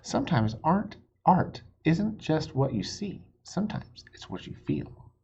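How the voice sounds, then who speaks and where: Someone voicing a gentle, breathy gorilla. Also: mid-range voice, very animated, unhurried, highs cut off, pitched above the performer's own voice, full character voice. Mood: reassuring. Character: Ivan, gentle, thoughtful male silverback gorilla. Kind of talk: picture book character read aloud.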